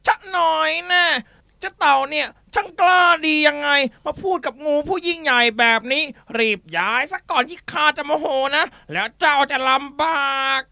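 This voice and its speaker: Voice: high-pitched, animated, normal speed, creaky, pushed and bright, no room sound, clean studio sound, heavily acted. A performer voicing a boastful, gullible bully snake with exaggerated delivery in a children's animal fable. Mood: blustering, menacing. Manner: exaggerated, threatening then whining.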